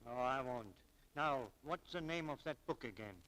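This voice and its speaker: An actor playing a kindly, hesitant, stammering coworker and loyal friend in a 1940s radio drama, on a noisy old recording. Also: male voice, medium pitch, moderate pace, sharp tone, lightly acted. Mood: gentle.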